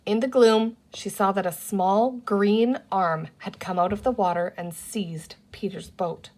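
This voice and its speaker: A woman's voice: medium pitch, measured pace, darkish tone. A woman reading a children's book aloud as the narrator of the story. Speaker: storybook reader.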